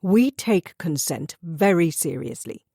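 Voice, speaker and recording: A woman's voice: fairly low pitch, big pitch swings, takes it slowly, gravelly, warm tone, slightly rough, no room sound, studio quality, full character voice. Give me a woman playing a stiff, evasive official, formal and pompous. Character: smooth evasive older male school official.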